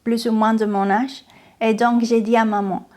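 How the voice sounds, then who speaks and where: A woman's voice: on the high side, level tone, a little mellow. Spanish-accented woman giving a testimony. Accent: Venezuelan Spanish. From Venezuela. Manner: earnest, unhurried, with frequent hesitations and restarts. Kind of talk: personal testimony to camera. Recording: faint music behind the voice.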